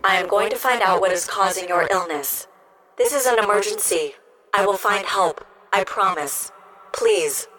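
A woman's voice: medium pitch, moderate pace, bright, forceful tone, lightly acted. Woman acting as a polite, precise caring robot. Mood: earnest, reassuring. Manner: precise, polite, formal wording. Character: earnest, curious, caring health-monitoring robot. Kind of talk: audio drama acting.

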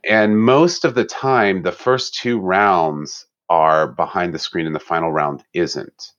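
A man's voice: sits low, steady tone, takes it slowly, a bit bright, muffled recording. A middle-aged man from Germany, an orchestra musician, chatting casually in an interview, laughing often and restarting his sentences.

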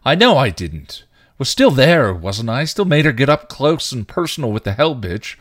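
A man voicing a bitter, guilt-ridden male vampire, rough and full of crude slang. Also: lowish voice, expressive, moderate pace, no echo, clean studio sound, lightly acted. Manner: snarling, rough, clipped slang. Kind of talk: fan fiction reading.

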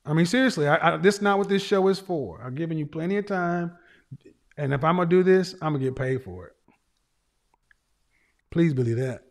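A blunt man hosts a call-in show, cutting in and repeating himself. His big voice sits a little high for a man. He talks over callers with loud, insistent phrases. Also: brisk, pauses often. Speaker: show host. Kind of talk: live call-in show talk.

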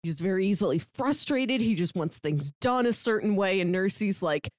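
A woman's voice: mid-range voice, normal speed, no echo, studio quality. A woman co-hosting a podcast in casual chat, retelling things expressively and acting out quotes as she goes. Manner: animated retelling, acts out quotes. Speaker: podcast co-host.

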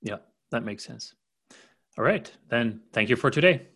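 A man's voice: medium pitch, expressive, a little slow. A man co-hosting a tech podcast, chatting casually and explaining things in an easygoing way with light humor. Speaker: podcast co-host. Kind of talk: casual technical chat.

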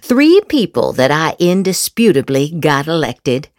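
A woman giving a theatrical, sarcastic dramatic reading of political posts as a podcast host, with exaggerated emphasis and drawn-out words. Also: sits low, big pitch swings, darkish tone, no echo, studio quality.

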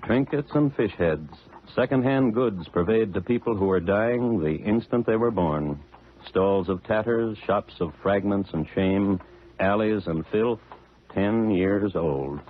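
A man's voice: lowish voice, unhurried, slight background noise, lightly acted. A man performing as a dry, urbane true-crime narrator, wry and literate, in scripted 1950s radio style. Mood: wry, deliberate. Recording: tinny old radio broadcast with music.

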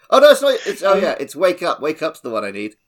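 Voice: medium pitch, huge pitch swings, fast talker. A podcast co-host chatting casually about games and TV, jokey and prone to digressions.

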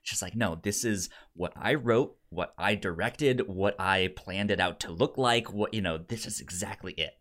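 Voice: deep voice, moderate pace, few pauses, fairly bright tone. Casual podcast co-host, rambling. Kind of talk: casual chat.

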